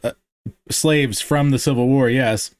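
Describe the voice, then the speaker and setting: Podcast host, rambling storyteller, dry close sound. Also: male voice, medium pitch, steady tone, a little slow, clear, resonant tone, no room sound. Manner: rambling, with restarts and tangents. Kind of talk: casual podcast conversation.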